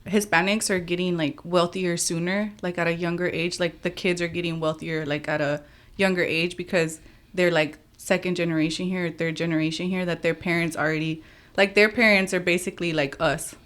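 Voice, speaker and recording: A woman's voice: fairly low pitch, fairly even intonation, a little fast, a bit bright. Rambling woman podcast guest. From United States. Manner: rambling, heavy use of "like", frank. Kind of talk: casual podcast chat. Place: podcast studio.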